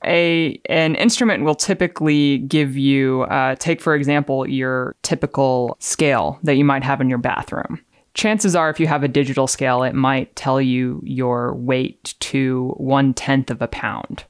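A woman's voice: a bass voice, steady tone, a little slow, no echo, clean studio sound. A woman on a podcast reading technical text aloud and explaining science, enunciating the terms carefully.

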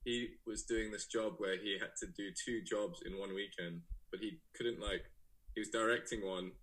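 A young man with a fairly low, husky voice that still has a bright, forward edge. He talks loosely and casually, full of fillers and restarts, as an interview guest telling his story. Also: normal speed, poor audio. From England, raised in South Africa. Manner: relaxed, rambling, many fillers and restarts. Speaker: guest, photographer and director.

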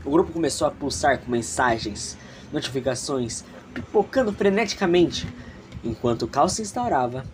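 Brazilian guy telling an audio drama story, theatrical and a bit snobby, very expressive, noisy crowd sounds behind. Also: medium pitch, normal speed. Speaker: narrator and author of an audio drama. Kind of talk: dramatic storytelling narration with casual asides.

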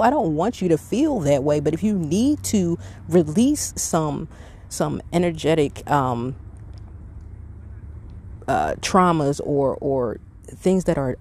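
A woman's voice: sits low, darkish tone, a little hiss or hum. A middle-aged woman hosting a podcast, talking in a casual, reflective monologue with an earnest, conversational and emphatic manner. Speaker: podcast host.

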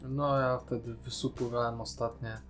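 A man with a mid-pitched voice talks casually as a podcast co-host, in an opinionated, critical way. Faint guitar music plays behind him. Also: level tone, normal speed, a bit bright.

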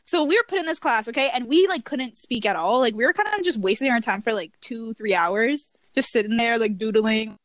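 A young woman talking in a casual chat, recorded close in a dry room. She tells stories in a loose, rambling way, full of fillers. Her voice sits fairly high. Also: lively intonation, a little fast, few pauses, fairly bright tone, no room sound. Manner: chatty, filler-heavy storytelling.

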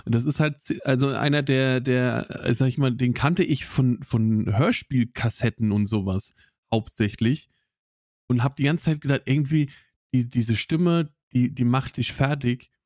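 A man's voice: medium pitch, unhurried, darkish tone, no room sound, clean studio sound. A man co-hosting a podcast, casually retelling a film plot with many restarts. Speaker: podcast co-host.

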